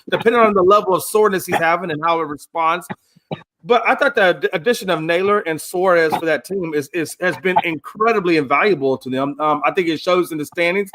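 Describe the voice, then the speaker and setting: A man's voice: fairly high pitch, a bit bright. A man in his fifties co-hosting a casual sports talk show, teasing the others and rambling with repeated words. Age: 50s. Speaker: co-host.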